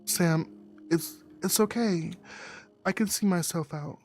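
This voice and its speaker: Guy doing a shy torn young woman in a visual novel, pitched way up, strained and halting. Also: a little mellow, faint music behind. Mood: awkward. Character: reserved, conflicted young woman pushing her lover away.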